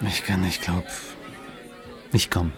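An actor playing a withdrawn, reluctant writer in a radio drama, speaking in terse, hesitant replies. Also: male voice, sits low, level tone, slow, over music, crowd noise. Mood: reluctant, subdued. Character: reluctant, withdrawn male writer who quit his job. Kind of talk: naturalistic radio drama dialogue.

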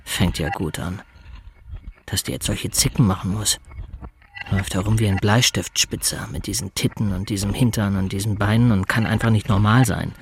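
A man's voice: on the low side, fairly even intonation, moderate pace, a little hiss or hum, natural voice. An actor playing a man's grumbling, crude, baffled inner voice, muttering exasperated in a radio drama monologue. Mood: irritated.

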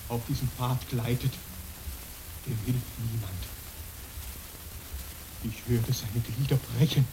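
A man acting a grim, resigned mountain porter in a radio play. The voice is mid-pitched and slow, grave and fatalistic, with clear hiss over the recording. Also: natural voice. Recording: hissy radio drama recording.

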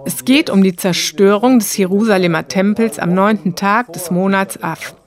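A woman reporter reading the scripted narration of a radio documentary in a calm, even way. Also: medium pitch, moderate pace.

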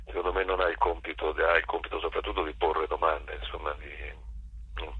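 A man with a cold, explaining reflectively and haltingly as an interview guest. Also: a bass voice, flat delivery, slightly rough, no room sound, narrow, tinny audio. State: has a cold. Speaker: author and actor guest.

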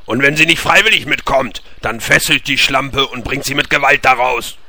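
A man playing a touchy, blustering dwarf king in a comedy audio drama, gruff and domineering. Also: mid-range voice, normal speed, pushed and bright, no room sound. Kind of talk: German audio drama parody.

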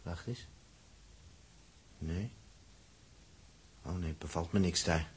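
A man's voice: low-pitched, takes it slowly, slight background noise. A man with a Netherlands Dutch accent acting an irritable, stubborn spaceman in a 1960s science-fiction radio drama. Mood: uneasy. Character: male spaceman Dirk, irritable, stubborn, bossy.

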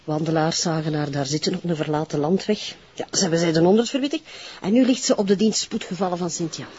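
A woman with a Flemish accent and a husky, breathy voice playing an eager, cheeky police colleague in naturalistic TV drama dialogue. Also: fairly low pitch, fast talker, mellow. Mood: urgent. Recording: dull lo-fi TV soundtrack.

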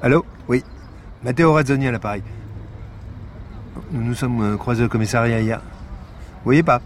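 An actor playing a weary, dry-humored police investigator in a naturalistic audio drama, speaking in a hesitant, understated way. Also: male voice, mid-range voice, moderate pace, a little hiss or hum, natural voice. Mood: awkward, polite. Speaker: actor in a fiction podcast.

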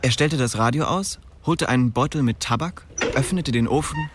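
A man's voice: medium pitch, normal speed, background noise, lightly acted. An actor playing a tough, restless young man who tells his own story bluntly and intensely in a radio drama. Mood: grim. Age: young adult. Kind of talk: first-person radio drama narration. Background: music.

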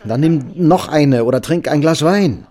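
A man's voice: fairly high pitch, expressive, a little slow, warm tone. An actor playing a cautious, placating middle-aged husband and father in a radio drama, reserved and questioning. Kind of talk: German radio drama acting.

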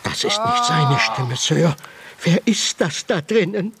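Worried butler, slow and fairly high. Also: male voice, lively intonation, creaky, background noise, full character voice. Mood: troubled. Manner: deferential, anxious. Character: loyal, worried long-serving male butler, middle-aged or older. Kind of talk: radio drama dialogue.

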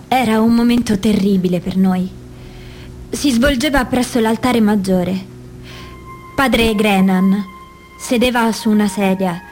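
A woman's voice: fairly high pitch, darkish tone, close to an everyday voice. A woman reading scripted reports and testimony for a documentary radio play. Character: victims and witnesses recounting abuse by priests.

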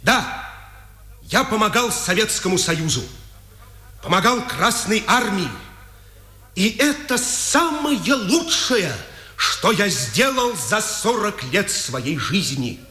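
A proud forty-year-old prisoner on trial in an old Soviet radio drama. He declaims slowly and with passion in a high voice that swings widely. Long echo trails each phrase. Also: very animated, sharp tone, heavily acted. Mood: proud defiance. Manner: declamatory, deliberate, impassioned. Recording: echoing hall, 1950s radio recording.

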